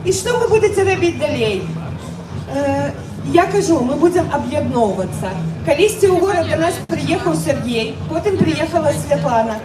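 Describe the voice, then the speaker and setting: A woman's voice: high-pitched, animated, moderate pace. Hesitant woman speaking to a crowd. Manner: hesitant, many fillers. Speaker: rally participant. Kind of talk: short speech to a crowd. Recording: echoey, from far off, music behind.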